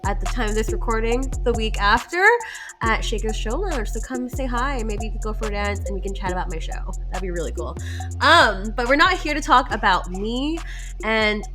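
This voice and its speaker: A woman's voice: on the high side, expressive, normal speed, music in the background. Bubbly congested woman podcast host. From Canada. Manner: bubbly, giggly, effusive. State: congested nose from a cold. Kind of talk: casual podcast chat.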